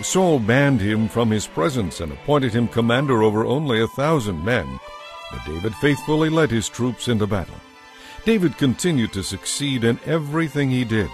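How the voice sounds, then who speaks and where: A man narrating a dramatized Bible story from a script in a measured, expressive storytelling manner. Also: medium pitch.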